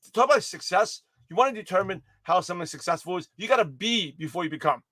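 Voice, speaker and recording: A man with a high, quick, slightly hoarse voice delivers a motivational pep talk straight to his viewers. He rambles energetically, corrects himself mid-word, and keeps circling back to his point. The sound is dry and close, with no room around it. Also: dry recording. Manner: energetic, rambling, with many restarts and self-corrections. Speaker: motivational speaker giving a daily pep talk.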